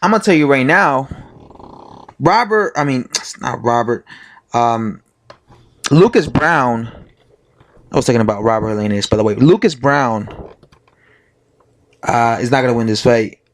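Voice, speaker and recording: Hoarse man rambling about boxing. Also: mid-range voice, lively intonation, unhurried, long pauses. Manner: rambling, full of fillers and self-corrections. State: hoarse from being sick. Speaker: boxing commentator vlogger. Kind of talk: casual opinion monologue.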